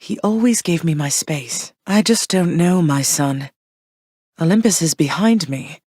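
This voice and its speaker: An actress voicing Persephone, a gentle, wistful queen of the underworld and a mother, in soft, measured, tender tones. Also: fairly low pitch, lively intonation, slightly rough, dry recording, studio quality. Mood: warm. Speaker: game character. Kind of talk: game voice lines.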